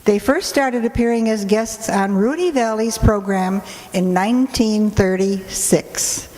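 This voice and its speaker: A woman's voice: mid-range voice, measured pace, a little mellow. A woman presenter reading a scripted introduction to a radio play. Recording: echoey hall, long reverb.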